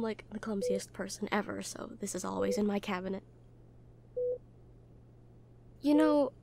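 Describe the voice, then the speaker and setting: A woman playing a caring, protective young hospital nurse in an intimate audio roleplay, speaking gently, conversationally and a little hesitantly. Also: mid-range voice, slight background noise, close to an everyday voice. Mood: tender, soothing.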